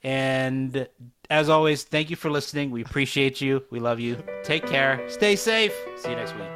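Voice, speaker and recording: A man's voice: mid-range voice, moderate pace, over music. A man co-hosting a podcast, talking casually in a polite, appreciative manner. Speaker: podcast co-host. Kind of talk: casual podcast chat with sign-off. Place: recorded over video chat.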